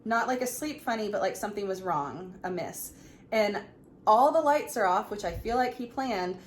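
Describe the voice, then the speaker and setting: A woman in her thirties telling a personal story in a casual, chatty, animated way. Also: mid-range voice, normal speed. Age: 30s. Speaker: vlog co-host. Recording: clearly noisy room ambience.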